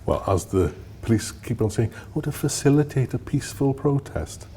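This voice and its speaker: A sixty-year-old man, a former serviceman, giving a documentary interview in a husky voice. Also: mid-range voice, animated, moderate pace, mellow, a little hiss or hum. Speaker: interviewee, former Royal Marine and police officer.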